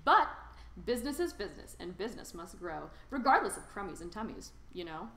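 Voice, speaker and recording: A woman reading a children's storybook aloud in an expressive, theatrical and emphatic storytelling manner. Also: mid-range voice, fairly quick, a little hiss or hum. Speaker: storybook reader. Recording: roomy, echoing sound.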